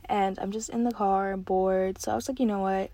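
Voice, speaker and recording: A nineteen-year-old woman hosting her own podcast, chatting casually and excitedly with lots of fillers from a parked car. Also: mid-range voice, normal speed, no room sound. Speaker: podcast host. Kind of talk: casual solo podcast chat.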